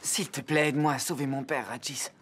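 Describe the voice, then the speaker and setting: A man playing a bitter, sarcastic human boy in a dubbed animated adventure, with a sullen, defiant way of talking. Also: mid-range voice, a little fast, breathy edge. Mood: hurt.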